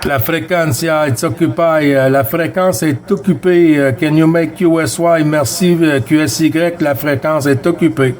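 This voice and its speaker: A man with a Quebec French accent chats casually as a CB radio operator, speaking in short phrases with drawn-out hesitations. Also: on the high side, fairly even intonation, crowd noise. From Quebec, Canada. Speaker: CB radio operator running a round-table contact. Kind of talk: casual amateur radio chat.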